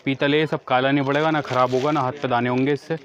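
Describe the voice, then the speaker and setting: Shopkeeper, flat sales talk. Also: male voice, medium pitch, fast, dull audio. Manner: matter-of-fact, repeats prices and details. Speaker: bangle wholesaler, shopkeeper. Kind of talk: product showcase sales talk. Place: small packed wholesale bangle shop, indoors. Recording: busy shop chatter behind the voice.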